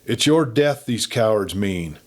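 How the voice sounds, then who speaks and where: A man's voice: lowish voice, lively intonation, measured pace, darkish tone. A man voicing an earnest young woman, a cattleman's daughter in a western story, urgent and pleading. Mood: urgent, alarmed.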